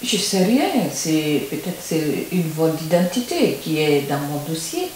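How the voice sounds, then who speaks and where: A woman from Guinea, answering interview questions in a halting way with simple phrasing. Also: low voice, sing-song, darkish tone. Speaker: interview guest. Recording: roomy echo with faint hiss.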